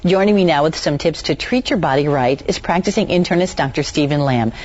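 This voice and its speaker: A woman's voice: sits low, a little fast, darkish tone, lo-fi sound. A woman speaking as a television host in a broadcast interview.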